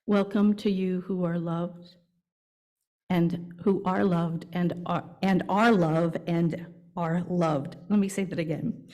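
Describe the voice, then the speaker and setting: A woman worship leader with a lowish, mellow voice addresses a congregation. She reads out her welcome in short, deliberate phrases, leaving pauses between them and taking her time throughout. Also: fairly even intonation. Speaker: worship leader at a church service. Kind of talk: reading a welcome and announcements to a congregation. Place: church service, speaking to a congregation.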